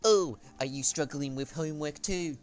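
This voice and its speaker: A man voicing a chatty adult mother with an attempted New Zealand accent, pitching his voice higher. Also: moderate pace, smooth, soft background music, lightly acted.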